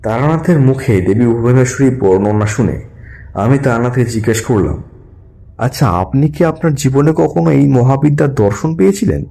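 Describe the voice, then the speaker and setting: A man's voice: mid-range voice, animated, moderate pace, gravelly, dark tone. A man narrating a scripted first-person audio story.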